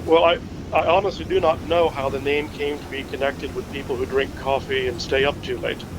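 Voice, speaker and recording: A man playing a learned, hesitant history scholar in a naturalistic fiction podcast, speaking in a halting, pedantic way. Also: medium pitch, little pitch movement, background noise, natural voice. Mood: thoughtful, explanatory.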